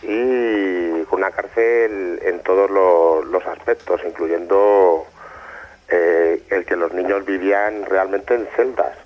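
A Spanish man speaks by telephone as an interview guest. His voice is low with little pitch movement, and he explains things at length in conversational testimony. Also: steady tone, slow, a little mellow, low-quality recording. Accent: Spain. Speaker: interview guest, former youth care educator. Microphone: telephone call.

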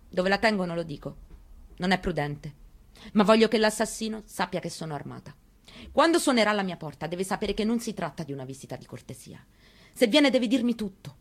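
An actress in a radio stage reading, playing an elderly grieving mother in a quiet, reflective monologue. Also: medium pitch. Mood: defiant. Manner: quiet, reflective, conversational storytelling.

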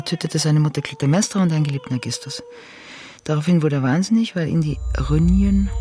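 A woman playing a performer who explains in plain, deliberate narration within a radio drama. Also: low-pitched, mellow, natural voice. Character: matter-of-fact performer explaining myth and own roles. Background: faint music.

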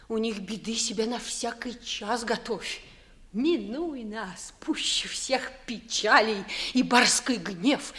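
An actress playing a pert, quick-witted young maidservant in a 1950s Russian verse radio comedy, with theatrical, exclamatory delivery and muttered asides. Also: female voice, medium pitch, fairly bright tone. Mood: weary, complaining.

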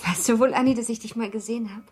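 An actress playing an anxious, tender young mother burdened by guilt in a German radio play, with a breathy voice. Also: a little high, rapid-fire, a little mellow, soft background music. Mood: strained cheer.

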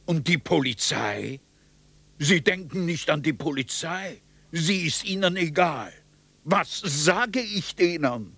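A man's voice: fairly high pitch, animated, slow pace, creaky, a bit bright, breathy edge, no room sound, exaggerated voice. An actor playing an anxious, reluctant male servant in a German radio drama, wary and stubborn, in short terse sentences. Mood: anxious, resisting.

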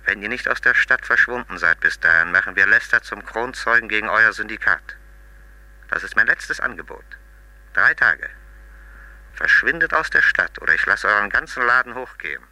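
An actor playing a shrewd, commanding investigator in a crime radio play, clipped, sardonic and authoritative. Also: male voice, fairly low pitch, expressive, normal speed, bright, forceful tone, no echo, low-quality recording. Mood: threatening.

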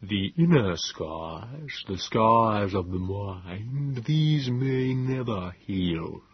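A man voicing a calm, clinical male Morlock who speaks in a slow, even, measured way. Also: on the low side, slightly rough, dry recording, lo-fi sound, exaggerated voice. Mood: grave.

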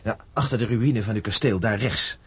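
A man's voice: lowish voice, normal speed, a bit bright, husky, no room sound. A man acting in a Dutch radio drama as a young cattle herder, plain-spoken, blunt and a little cheeky. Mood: friendly, grateful.

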